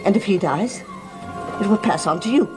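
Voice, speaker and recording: An older actress playing a stern servant, fiercely loyal to her master, in a gothic soap opera, speaking gravely and emphatically. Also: mid-range voice, lively intonation, normal speed, close to an everyday voice. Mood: ominous. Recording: vintage TV soundtrack with music underneath.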